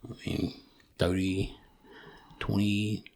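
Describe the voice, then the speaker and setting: A man from Thailand, a podcast guest, chatting casually and modestly, with many halting restarts. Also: fairly low pitch, flat delivery, gravelly, a little mellow. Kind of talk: casual chat.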